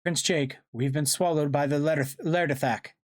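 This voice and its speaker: A man's voice: on the high side, fairly even intonation, moderate pace, voice pushed up, lightly acted. A man voicing a young alien ally who cries out urgent warnings in a formal way. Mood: alarmed, urgent.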